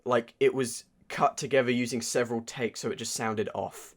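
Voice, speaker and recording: A man chatting casually as a podcast co-host reviewing films, excitable and free with swearing like a keen fan. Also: medium pitch, moderate pace. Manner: profane, excitable fan talk. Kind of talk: casual chat.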